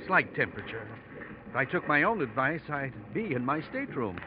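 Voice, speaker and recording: An actor playing an affable, hesitant, slightly ailing doctor in an old-time radio drama. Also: male voice, medium pitch, sing-song, fairly quick, natural voice. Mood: polite, weary. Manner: hesitant, genial, rambling politely. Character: affable, chatty male mission doctor, slightly under the weather. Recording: muffled vintage radio recording, faint noise.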